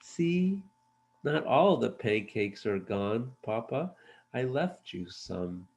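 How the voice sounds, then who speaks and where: A man voicing an eager, cheerful young boy gnome in a children's storybook, excitable and earnest. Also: medium pitch, slow pace, vocal fry, full character voice. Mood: eager, proud.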